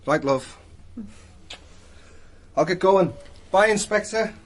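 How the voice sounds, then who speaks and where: An actor playing a proud, evasive young artist in a radio drama, speaking in a cool, measured, slightly pompous way. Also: male voice, sits high, expressive, a little hiss or hum. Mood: proud, resolute.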